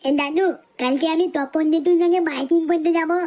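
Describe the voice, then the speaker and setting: A woman's voice: very high-pitched, fairly even intonation, brisk, darkish tone. A woman voicing an earnest, naive, whiny little boy who pesters with questions in a comedy cartoon. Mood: eager.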